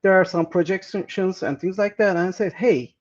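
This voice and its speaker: A man's voice: sits high, normal speed, dull audio. A man, answering interview questions with many fillers and restarts. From Turkey. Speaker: guest.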